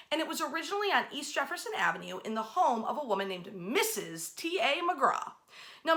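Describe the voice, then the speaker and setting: American woman, history host, informal storytelling, emphatic and enthusiastic, lively intonation, bright forward tone. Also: high-pitched.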